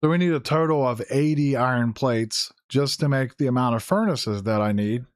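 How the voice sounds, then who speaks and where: Hoarse man rambling over gameplay. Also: mid-range voice, normal speed, dry recording, clean studio sound. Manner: rambling, thinking aloud with fillers and self-corrections. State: hoarse, losing his voice. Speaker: gaming YouTuber. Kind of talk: casual Let's Play commentary.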